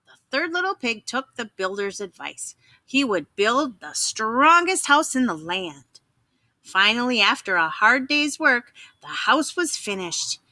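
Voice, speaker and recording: Slow, animated woman reading a children's story. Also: a little high, dry recording. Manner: dramatic, measured storytelling. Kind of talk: expressive children's read-aloud narration.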